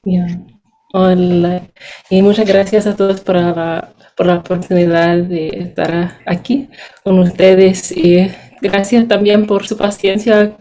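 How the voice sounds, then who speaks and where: Mellow woman speaking careful Spanish. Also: medium pitch, flat delivery. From United States. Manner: careful and measured, sometimes searching for words. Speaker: postdoctoral education researcher. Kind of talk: seminar presentation.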